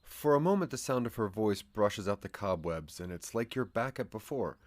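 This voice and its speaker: Male narrator, fairly deep; suspenseful, measured storytelling for audio drama. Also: expressive, no echo.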